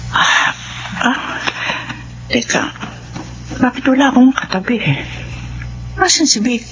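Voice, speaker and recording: A woman's voice: medium pitch, vocal fry. An actress with a Filipino accent playing an anxious, suspicious wife and mother in a radio drama. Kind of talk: radio drama acting with murmured inner monologue. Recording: dull, noisy radio drama audio.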